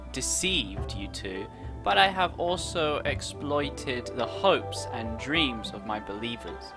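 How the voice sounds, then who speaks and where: Gaming streamer, slow pace, medium pitch, casual playthrough commentary, playful and sarcastic, game music behind. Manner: giggly, playful, sarcastic asides.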